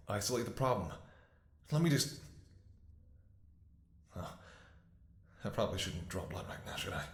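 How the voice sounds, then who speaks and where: A man playing a devoted, desperate doctor caring for his infected lover in an emotional, halting ASMR roleplay, with an echoing sound. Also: lowish voice, lightly acted. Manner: halting, stammering, emotional delivery. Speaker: voice actor in an audio roleplay. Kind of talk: ASMR dramatic roleplay monologue.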